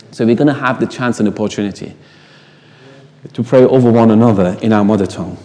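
A man's voice: medium pitch, darkish tone, in a large hall. A man whose first language is Farsi, preaching a sermon in an emphatic way, asking the congregation rhetorical questions.

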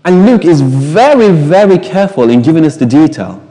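Lively preacher man with Farsi roots. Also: a little high, moderate pace, long reverb tail. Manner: animated, emphatic with rhetorical questions. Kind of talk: sermon.

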